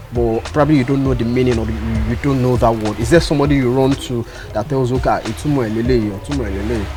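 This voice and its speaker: Chatty man interviewing. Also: mid-range voice. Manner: relaxed, chatty, mixes English and Yoruba. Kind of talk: casual interview.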